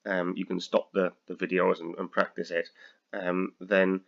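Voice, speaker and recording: A British man with a very low, gravelly voice that is a bit croaky today, talking students through a spreadsheet lesson. He hesitates often and restarts his sentences. The audio is dull and lo-fi, like a simple computer mic. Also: flat delivery, moderate pace, a bit bright. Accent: British English. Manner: hesitant, many ums and restarts. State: slightly croaky. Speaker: accounting tutor. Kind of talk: screen-recorded software tutorial.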